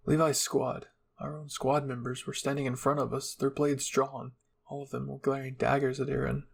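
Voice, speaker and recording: A man's voice: medium pitch, flat delivery, normal speed, gravelly, breathy edge. A man reading an anime fan story aloud as a dramatic first-person narration. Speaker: fan fiction reader.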